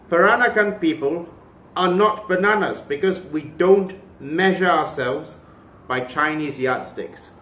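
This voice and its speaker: A man's voice: fairly high pitch, fairly bright tone, recorded from a distance. A man from Malaysia giving an opinionated video commentary, emphatic and impassioned, with sardonic asides. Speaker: cultural commentator. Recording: echoey room.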